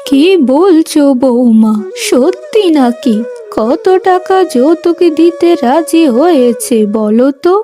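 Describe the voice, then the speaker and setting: A woman's voice: high voice, very animated, takes it slowly, dark tone, faint music behind, heavily acted. A woman voicing a proud, domineering middle-aged mother with an emphatic manner in a cartoon family drama. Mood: eager, greedy.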